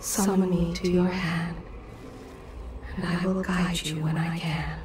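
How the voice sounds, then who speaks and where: A woman's voice: fairly low pitch, flat delivery, takes it slowly. An actress playing the spirit of a mother in a video game, tender, solemn and wistful. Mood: sorrowful, solemn. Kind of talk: video game cutscene acting. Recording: distant, echoing, with noisy ambience.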